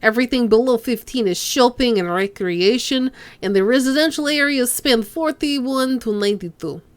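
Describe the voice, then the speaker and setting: A woman's voice: on the high side, moderate pace, no room sound, close to an everyday voice. Businesslike corporate man, read by a woman.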